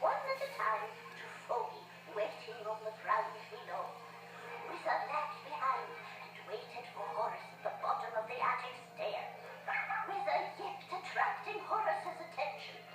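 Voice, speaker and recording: A woman narrating a children's read-along storybook in a dramatic, expressive way. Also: high-pitched, a little slow, heavy reverb, far from the mic, music in the background, poor audio.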